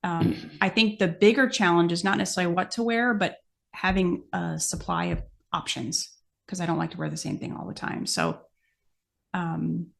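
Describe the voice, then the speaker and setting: A woman's voice: lowish voice, lively intonation, normal speed, vocal fry. An American woman in a casual podcast Q&A, explaining things in a practical way and thinking aloud as she goes. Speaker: podcast guest host, amateur horse show exhibitor.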